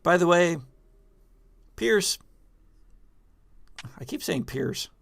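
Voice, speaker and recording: A man acting as game master for a tabletop role-playing game, improvising narration and table chat in halting, deliberate phrases. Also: high-pitched, animated, creaky, fairly bright tone, no room sound.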